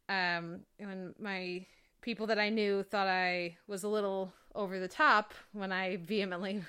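A woman hosting a relaxed, conversational review of television, asking questions and sharing her own opinions. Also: mid-range voice, clean tone. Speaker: podcast host. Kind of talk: casual TV review chat.

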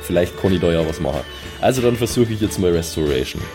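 A man with a lowish voice talks casually as a tabletop role-player, slipping in and out of character. Music plays clearly behind him. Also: lively intonation, quick pace. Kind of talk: casual game chat, partly in character.

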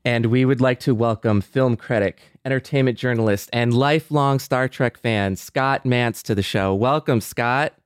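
A man's voice: mid-range voice, normal speed, few pauses, clear, resonant tone, no room sound, studio quality. A man hosting a podcast in a conversational style, introducing and welcoming. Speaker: podcast host.

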